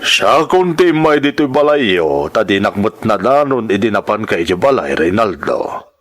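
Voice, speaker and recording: A man playing an imposing, well-off older patron in a radio drama, measured and theatrical. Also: lowish voice, sing-song. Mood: probing, stern. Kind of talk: Ilocano radio-drama acting.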